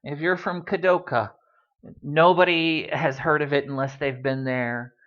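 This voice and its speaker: A man's voice: on the high side, steady tone, a little slow, highs cut off. A man giving a casual Spanish lesson, full of wry asides and ums. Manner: chatty, wry asides, many ums and uhs. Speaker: Spanish teacher.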